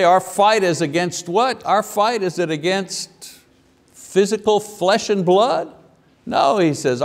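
Animated man preaching, lapel mic in church. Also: fairly high pitch. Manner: animated, gesturing, asks the congregation questions. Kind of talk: sermon. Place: church sanctuary at a wooden pulpit. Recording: long hall reverb.

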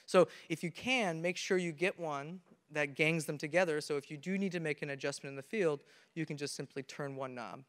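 A man's voice: fairly high pitch, a little fast, a bit bright. Young man presenting in a big, echoey hall. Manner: earnest, explains step by step with many restarts. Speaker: conference presenter. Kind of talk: technical talk on stage. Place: large conference hall with audience. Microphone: handheld mic. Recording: reverberant hall from a camera.

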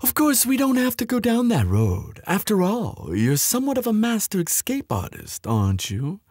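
A man acts out a smug villain in an audio roleplay. His pitch swings wildly as he makes taunting, mock-polite threats. The voice is husky and the recording close and dry. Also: wildly swooping pitch, normal speed, darkish tone, dry recording, full character voice. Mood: smug, menacing. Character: gloating, menacing male villain making threats by phone.

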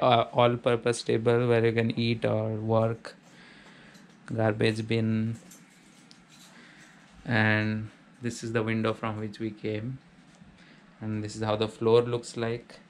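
A man of middle pitch narrates a tour of a small student apartment, listing each feature in a matter-of-fact way. His voice stays almost monotone throughout. A faint hum of room ambience sits behind the camera-mic sound. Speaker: vlogger giving a house tour. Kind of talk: casual walkthrough narration.

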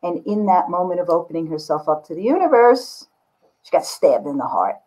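An older woman with a husky voice, chatting casually and informally as an artist on a video call. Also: lowish voice, big pitch swings, brisk, warm tone, low-quality recording. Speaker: visual artist, guest. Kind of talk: casual chat explaining own artwork. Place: book-lined home studio, indoors. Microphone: computer mic on a video call.

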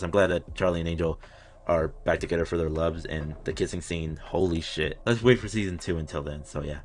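A man in his twenties giving casual, unscripted reaction commentary as a video host, rambling like an excited fan, recorded at home in a small room. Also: low voice.

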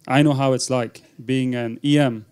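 Clear-voiced man moderating a panel. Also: mid-range voice, fairly even intonation, normal speed, darkish tone. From Hong Kong. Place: conference function room, indoors. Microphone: handheld microphone.

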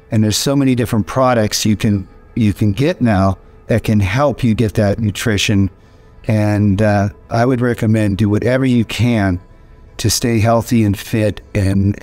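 A man's voice: lowish voice, a little mellow. An older man giving earnest, rambling advice with many restarts, seated in a hotel room. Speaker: interviewee. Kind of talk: casual advice to camera.

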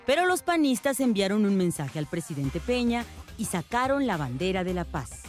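A woman reporter reading a scripted news voice-over in a measured way. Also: mid-range voice, very animated, soft background music.

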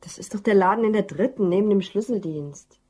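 An actress plays a female office colleague in a radio crime play. Her voice has a slight rasp and a middling pitch. She comes across as businesslike and inquisitive. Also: brisk, darkish tone, natural voice. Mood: matter-of-fact.

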